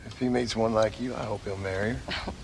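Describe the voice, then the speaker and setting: An actor playing a plainspoken, laconic reformed gunslinger and husband with an American frontier drawl, in a video game. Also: male voice, lowish voice, steady tone, brisk, a little hiss or hum, lightly acted, poor audio. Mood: tender, earnest. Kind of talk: video game dramatic dialogue.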